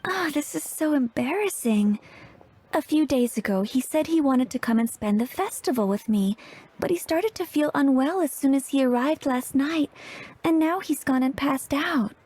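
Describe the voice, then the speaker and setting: A woman's voice: high voice, lively intonation, normal speed. A woman playing a polite, gracious young shopkeeper in a video game, with courteous, apologetic phrasing. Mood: embarrassed, worried. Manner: polite, gentle wording, apologetic. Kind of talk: English video game voice acting.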